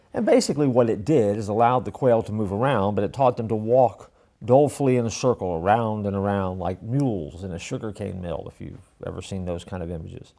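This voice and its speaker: Gravelly, mellow man telling a folksy story. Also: medium pitch. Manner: folksy, self-deprecating, trails off softly at phrase ends. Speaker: church storyteller host. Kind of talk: casual storytelling with a moral.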